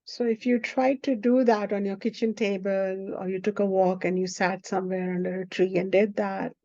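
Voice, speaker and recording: A woman whose first language is Hindi, teaching a spiritual class in an unhurried way and often checking in with the listener. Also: mid-range voice, flat delivery, a little mellow, no echo. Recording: dull lo-fi recording.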